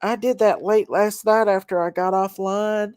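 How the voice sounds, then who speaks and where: A woman's voice: mid-range voice, steady tone, measured pace, a little mellow, smooth, dry recording, clean studio sound. A woman, stuffed up with a cold, hosting a casual livestream chat and reading out viewer names. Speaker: livestream host.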